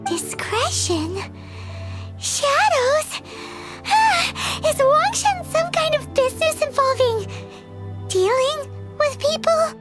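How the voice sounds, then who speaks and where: A woman voicing a small, naive, excitable fairy companion in a video game, childlike and easily startled. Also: very high-pitched, slow, full character voice. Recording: loud music under the voice.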